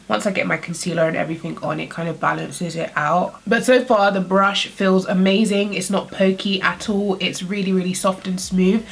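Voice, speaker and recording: A woman's voice: medium pitch, a bit bright. A young woman with a blocked-up nose from a cold, chatting upbeat to viewers while testing makeup brushes. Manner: chatty, upbeat, addresses viewers as girlies and guys. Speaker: beauty vlogger. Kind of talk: casual makeup tutorial chat.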